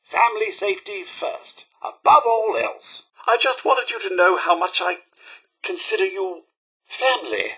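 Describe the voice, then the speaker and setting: A male actor playing a loyal, fussily polite robot butler in a video game, with a British accent and a formal, effusive, theatrical manner in a bright, raspy voice. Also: high-pitched, lively intonation, a little slow, exaggerated voice. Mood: relieved, delighted, then sentimental, bashful. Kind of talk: video game companion dialogue.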